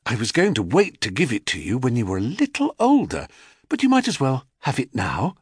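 Man doing a soft doting mother. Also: mid-range voice, huge pitch swings, a little fast, breathy edge, no room sound. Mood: soothing. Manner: soft, soothing, a little flustered. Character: gentle, doting mother of a boy.

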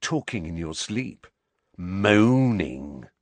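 A man's voice: low-pitched, very animated, slow, a bit bright, dry recording, full character voice. Man doing a big, snarling teenage bully. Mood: gloating. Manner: snarling, sneering.

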